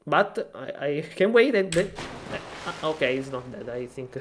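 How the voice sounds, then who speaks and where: A man with an Italian accent talks through a PC game tutorial in English, his second language, stumbling and restarting often. His voice sits a bit higher than most men's. A faint hiss and some clicks run under the recording. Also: sing-song, measured pace. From Italy. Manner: hesitant, many fillers and restarts, self-deprecating. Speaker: gaming tutorial maker. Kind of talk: casual screen-recorded tutorial.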